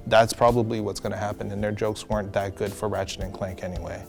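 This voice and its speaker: A man's voice: lowish voice, little pitch movement, fast, vocal fry, faint music behind. A man chatting casually as a discussion guest, frank and critical in how he gives his opinions. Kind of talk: casual chat.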